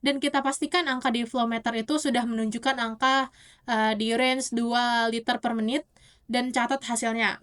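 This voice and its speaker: A young woman gives an explanatory oral presentation as a student, often halting to correct herself. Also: a little high, steady tone, fairly bright tone. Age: young adult. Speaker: university student.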